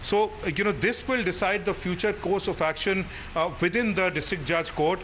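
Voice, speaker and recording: A man with an Indian accent giving an unscripted live news report, haltingly and with repeated hesitations. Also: sits high, steady tone, fairly bright tone, slight background noise. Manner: halting, repetitive, hesitant delivery. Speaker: news reporter covering a court case.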